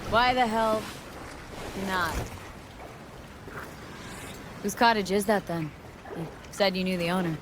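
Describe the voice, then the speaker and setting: A woman's voice: mid-range voice, slow pace, long pauses, background noise, natural voice. A woman acting a guarded, curious mercenary in a video game, talking in short, understated lines and questions. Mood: hesitant.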